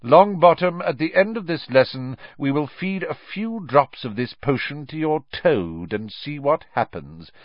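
A man's voice: on the high side, animated, unhurried, few pauses, no echo, dull audio, pitched above the performer's own voice. A man voicing a cold, scornful male potions teacher with an icy, cutting delivery. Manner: icy, cutting, raised in scolding.

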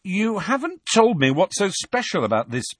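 A man voicing a reckless teenage boy wizard, talking fast and defiantly to buy time. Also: sits high, sing-song, fairly bright tone, breathy edge, dry recording, clean studio sound, voice pushed up, heavily acted. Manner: brash, talking fast to stall. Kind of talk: audiobook character voice.

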